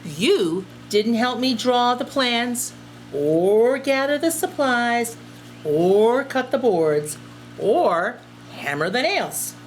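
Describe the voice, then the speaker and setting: Woman reading as a determined little girl, slow and emphatic. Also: fairly high pitch, sing-song, a little hiss or hum, voice pushed up. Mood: indignant. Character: determined, self-reliant little girl builder, put out with others. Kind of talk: children's storybook read-aloud.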